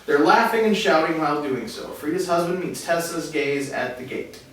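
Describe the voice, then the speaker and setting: A man with a slightly high voice narrates stage directions at a table read. He is far from the mic in a very echoey room. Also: animated, moderate pace, fairly bright tone. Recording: distant mic, echoey room, faint noise.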